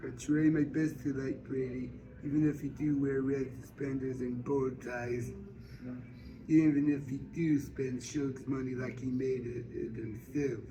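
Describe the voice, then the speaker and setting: A man reading a first-person novel aloud as an amateur narrator, halting, with stumbles and repeated words. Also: medium pitch, little pitch movement, muffled recording, crowd noise. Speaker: amateur audiobook reader. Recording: faint background noise.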